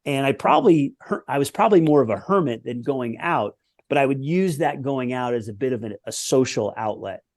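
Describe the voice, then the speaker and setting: A man's voice: mid-range voice, expressive, a little fast, a little mellow. A man in his forties from the United States, a former pro tennis player, telling his story as a podcast guest in an earnest, reflective way. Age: 40s. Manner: reflective, earnest, with lists and restarts. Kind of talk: conversational interview storytelling.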